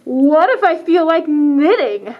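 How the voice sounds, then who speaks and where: A woman's voice: sits high, big pitch swings, slow pace, lo-fi sound, full character voice. A woman voicing a cheerful, carefree pigeon who is different from the rest, light and easygoing.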